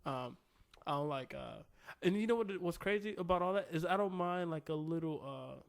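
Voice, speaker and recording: A man's voice: on the high side, normal speed, vocal fry. Chatty giggly young man. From Southside Richmond, Virginia. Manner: laughs often, rambling with restarts. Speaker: podcast co-host. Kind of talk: casual comedic chat.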